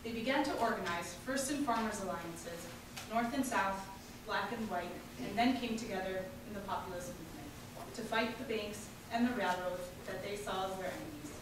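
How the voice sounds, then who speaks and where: A woman narrator reads scripted history at a medium pitch. She sounds distant, in a very echoey hall with clear background noise. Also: moderate pace. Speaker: event narrator.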